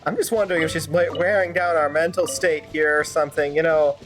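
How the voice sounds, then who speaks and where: A woman's voice: sits low, fairly even intonation, a little fast, faint music behind. A woman streamer improvising fan-dub commentary over a game, sarcastic and rambling.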